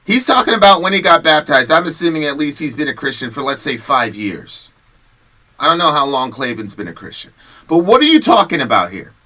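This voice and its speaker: Bright-voiced man talking casually. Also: fairly high pitch, a little fast. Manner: animated, slangy and conversational. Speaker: host of a news commentary show. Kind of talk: casual commentary and personal storytelling.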